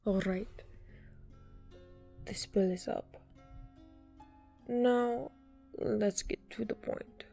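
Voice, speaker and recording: A dark, mellow female voice with heavy vocal fry acted by a woman plays a cool winter elf assassin. The delivery is deliberate and commanding, in fantasy audio drama style. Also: medium pitch, fairly even intonation, faint music behind, exaggerated voice. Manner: slow, deliberate, authoritative. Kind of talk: amateur fantasy audio roleplay acting.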